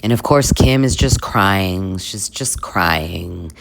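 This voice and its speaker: A man's voice: low-pitched, expressive, takes it slowly, gravelly, fairly bright tone, no room sound. A man hosting a comedic reaction video, trading sarcastic asides with little chuckles.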